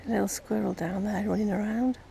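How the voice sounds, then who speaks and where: An English woman chatting casually and gently as she narrates a nature vlog outdoors. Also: medium pitch, steady tone, brisk, vocal fry. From England. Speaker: vlogger. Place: outdoors in an urban park. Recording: outdoor ambient noise, birdsong. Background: birds and park ambience.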